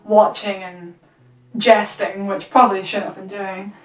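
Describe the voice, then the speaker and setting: A woman's voice: mid-range voice, flat delivery, a little slow. Young woman storytelling in an echoey room. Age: 20s. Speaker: vlog host telling a roleplay story, interviewing her friend. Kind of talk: casual storytelling to camera. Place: small room at home with costumes. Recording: roomy echo, distant microphone, music underneath.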